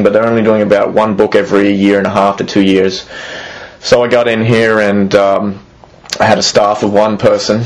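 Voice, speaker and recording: A man's voice: lowish voice, rough recording. A man in his thirties, originally from Australia, answering interview questions hesitantly with many fillers and restarts. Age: 30s. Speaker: museum executive, interviewee.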